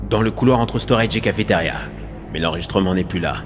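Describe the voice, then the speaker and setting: A man voicing a grave, weary spaceship crew leader in a dramatic animated film dub, speaking in a measured, ominous way. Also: low voice, over music, close to an everyday voice. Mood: ominous, serious.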